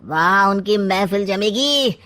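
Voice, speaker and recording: A woman's voice: medium pitch, animated, slow pace, a bit bright. A woman voicing a sly, sarcastic, scheming older uncle in a comic family story, with a theatrical drawl. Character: scheming, sarcastic maternal uncle, middle-aged or older man.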